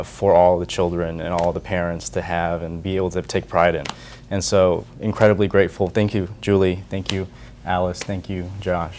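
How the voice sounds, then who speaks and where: A young American man who works as a senate staffer, answering interview questions in an earnest, grateful way, often pausing with fillers. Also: deep voice, a little hiss or hum. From United States. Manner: soft-spoken, earnest, with frequent fillers and restarts. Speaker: senate staffer for community outreach.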